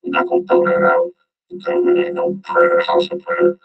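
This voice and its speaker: A man's voice: very high voice, huge pitch swings, moderate pace, gravelly, muffled recording, loud music behind the voice. A man giving off-the-cuff reaction commentary in an informal, slangy way, repeating himself for emphasis. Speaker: host commentator.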